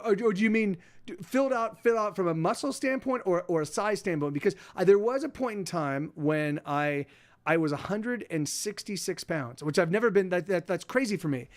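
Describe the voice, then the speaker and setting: Man, forties, high voice, stream host, rambling restarts, swears a lot, soft music underneath. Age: 40s. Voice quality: talks in halting restarts with frequent swearing. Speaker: livestream host chatting with viewers. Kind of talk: rambling casual chat.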